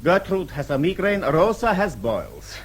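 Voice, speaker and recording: An actor playing a grave, courteous German man in a radio drama, speaking formally and deliberately with a German accent. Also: high-pitched, sing-song, breathy edge, full character voice. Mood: apologetic, anxious. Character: courteous, principled German man, grave confidant or consular clerk.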